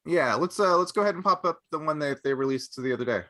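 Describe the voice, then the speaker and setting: A man's voice: on the high side, expressive, fast talker, fairly bright tone. A man hosting a casual fan talk show and prompting the others. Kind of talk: casual chat.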